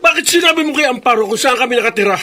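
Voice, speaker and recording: A man's voice: very high voice, expressive, very fast, pushed and bright, a little hiss or hum, full character voice. A man playing an enraged, vicious villain in a Filipino radio drama.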